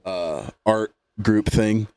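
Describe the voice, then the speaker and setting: Low-voiced laid-back man in his late 20s. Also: very slow, mellow, smooth, no room sound. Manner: laid-back rambling storyteller. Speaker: podcast co-host. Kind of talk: casual chat.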